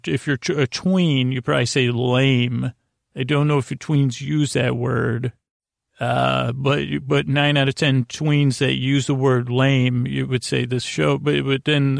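A man hosting a bedtime podcast in a creaky, lulling voice, meandering haltingly and interrupting himself through a silly monologue. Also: medium pitch, no room sound, studio quality. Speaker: host of a bedtime sleep podcast. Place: small closet, close and dry.

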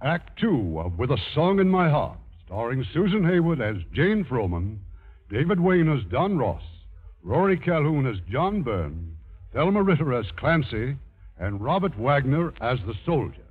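A man's voice: on the high side, big pitch swings, unhurried, slightly rough. A man presenting formally as the host of a 1950s radio show. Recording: muffled, phone-like vintage radio broadcast.

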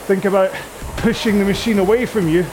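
A man's voice: sits high, fairly even intonation, fairly quick, background noise. A man coaching a rowing workout in a casual, rambling, jokey and conversational way. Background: music.

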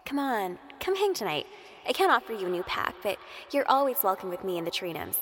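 A woman's voice: mid-range voice, very animated, a little fast, a bit bright, a little hiss or hum. A woman playing a loyal, playful friend in an audio drama, speaking in a coaxing, affectionate way. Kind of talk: naturalistic audio drama acting.

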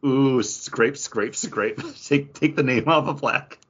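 A man's voice: mid-range voice, slow pace, a bit bright, husky. A man co-hosting a pop culture podcast, chatting in a jokey, conversational way. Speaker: podcast co-host. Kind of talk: casual pop culture chat. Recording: dull, lo-fi podcast audio.

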